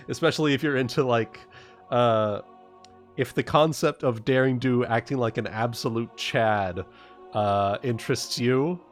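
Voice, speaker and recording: A man chatting casually as a livestream host, explaining things in a halting, stop-and-start way. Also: mid-range voice, faint music behind. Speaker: livestream host and game master.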